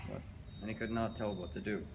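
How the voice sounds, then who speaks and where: A man's voice: on the low side, fairly quick. A man telling a children's fairy tale as a dramatic storybook reading. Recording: noisy, reverberant hall recording.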